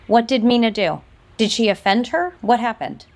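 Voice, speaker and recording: A woman's voice: a little high, expressive, moderate pace. A woman acting in an audio soap opera as a stern, critical older sister and company executive. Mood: surprised, questioning. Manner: firm, reproachful.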